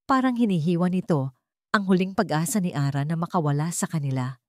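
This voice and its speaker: A woman's voice: on the low side, brisk, no room sound, studio quality. A woman reading a dramatic scripted audiobook story as its narrator.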